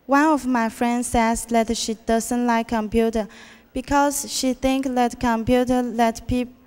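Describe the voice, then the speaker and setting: Taiwanese teen girl stumbling thru a school speech, slow, clear voice, echoey hall. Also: fairly high pitch, fairly even intonation, a little mellow. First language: Mandarin Chinese. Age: teens. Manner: hesitant, halting, with restarts. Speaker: student speech contestant. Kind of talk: prepared contest speech. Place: school auditorium. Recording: reverberant hall.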